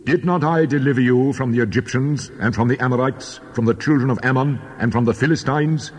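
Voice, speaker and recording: A man's voice: medium pitch, brisk, slightly rough, natural voice. A man voicing a stern, solemn God who rebukes his people in a dramatized Bible reading. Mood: stern, reproachful. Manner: solemn, reproachful. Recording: long cavernous reverb.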